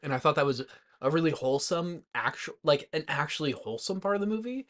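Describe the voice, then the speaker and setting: A man co-hosting a movie podcast, talking in a loose, informal way with lots of halting filler words as he retells things. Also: a little high. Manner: informal, heavy use of "like", halting, animated retelling. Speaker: podcast co-host. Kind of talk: rowdy casual movie chat.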